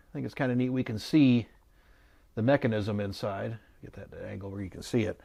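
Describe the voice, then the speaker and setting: A man's voice: mid-range voice, vocal fry, darkish tone, dry recording. A man giving a casual, unscripted product review, thinking aloud in a musing, hesitant and mildly skeptical way. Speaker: host of an electronics review channel.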